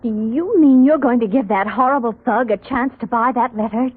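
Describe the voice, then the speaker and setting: An actress playing an earnest, anxious and indignant wife in a 1940s radio mystery, with emotional delivery. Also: female voice, on the high side, normal speed, husky, dry recording. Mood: indignant, distressed. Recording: muffled, dry, tinny 1940s radio recording.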